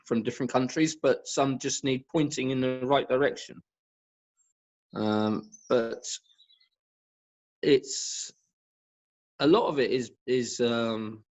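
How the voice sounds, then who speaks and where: Guy, expert guest chatting on a zoom-type call, lowish-fi muffled sound, halting but emphatic. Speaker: guest expert, professional badminton racket stringer. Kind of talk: informal expert discussion on a video call. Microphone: video call. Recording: dull lo-fi video call audio.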